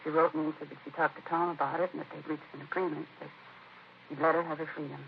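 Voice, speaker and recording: An American actress playing a distraught, disapproving, defensive grieving sister in a 1950s radio crime drama, speaking in a hesitant, confiding way. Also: female voice, low voice, flat delivery, quick pace, creaky. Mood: upset, disapproving. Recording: muffled, noisy vintage radio recording.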